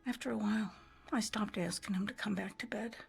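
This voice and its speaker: Husky, gravelly old American widow. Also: female voice, mid-range voice, steady tone, soft background music, exaggerated voice. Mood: haunted, hushed. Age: older. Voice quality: husky, gravelly, often falling to a breathy hush. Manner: slow-burning, wistful storytelling. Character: weary old widow haunted by her husband's decline. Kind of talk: dramatic game cutscene monologue.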